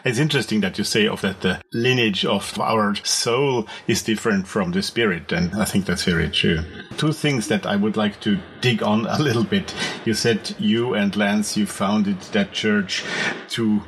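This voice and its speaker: Slow-spoken Austrian man asking questions. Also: on the low side, fairly bright tone, slight background noise. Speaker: podcast host. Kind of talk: interview questions.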